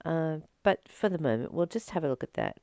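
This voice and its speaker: A woman reading aloud and reflecting on spiritual teachings in a deliberate, emphatic way, with pauses between phrases. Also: sits low, expressive, gravelly, mellow, no echo, studio quality. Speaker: host of a spiritual reading channel.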